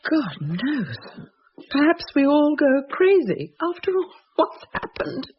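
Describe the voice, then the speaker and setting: Scared woman, defensive and sharp, voice swings up and down, muffled old radio horror play. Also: sits high, sing-song, takes it slowly, a little hiss or hum, exaggerated voice. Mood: insistent. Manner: emphatic, dramatic, defensive. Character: frightened, sharp-tongued rationalist woman, Margaret, insisting nothing happened. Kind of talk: British radio horror drama acting.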